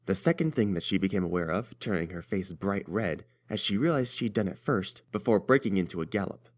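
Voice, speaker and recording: Low-pitched male story narrator. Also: animated, brisk.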